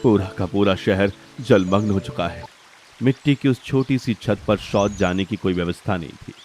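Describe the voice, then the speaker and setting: Low-pitched man narrating a dramatic story. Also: a little mellow, a little hiss or hum.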